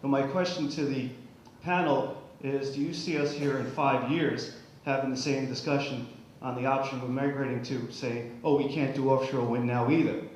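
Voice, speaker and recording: A man's voice: mid-range voice, fairly even intonation, moderate pace. Man questioning a panel, heavy room echo. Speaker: audience member questioning a panel. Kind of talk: spoken question at a public forum. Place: public meeting hall. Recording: very echoey hall, faint background noise.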